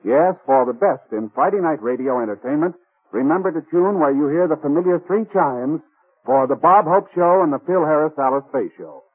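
Formal 1950s radio announcer, muffled recording. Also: male voice, on the high side, lively intonation, a little fast, breathy edge. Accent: American. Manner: formal, polished delivery. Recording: muffled vintage radio broadcast, faint music.

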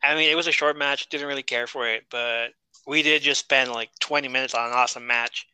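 Bright, crisp-voiced man chatting wrestling. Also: mid-range voice, fairly even intonation, a little fast, dry recording, rough recording. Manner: chatty, wry, laughs at own jokes. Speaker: podcast co-host. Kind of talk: casual wrestling podcast chat.

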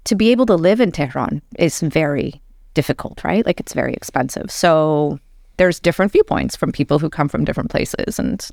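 Middle-aged woman, casual talk, gravelly texture, acts out quoted voices. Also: deep voice, lively intonation, fairly quick, darkish tone, no echo, clean studio sound. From Canada. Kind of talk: casual conversation.

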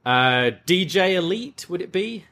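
A man's voice: sits high, lively intonation, measured pace, sharp tone. A man hosting a wrestling podcast in an upbeat, chatty way, full of fillers and restarts. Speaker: podcast host. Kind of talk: casual chat.

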